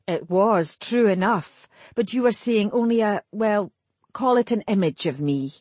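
Woman as scornful, commanding male elf sorcerer, husky. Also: mid-range voice, expressive, a little slow, darkish tone, no room sound. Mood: indignant. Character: angry elven man, sorcerer, scornful protector. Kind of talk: audiobook character acting.

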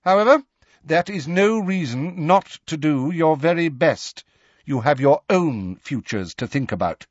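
A man's voice: on the high side, animated, unhurried, dry recording, lo-fi sound, pitched above the performer's own voice. A man voicing a stern, prim older female schoolteacher with a formal, clipped, admonishing manner in an audiobook. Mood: severe, admonishing.

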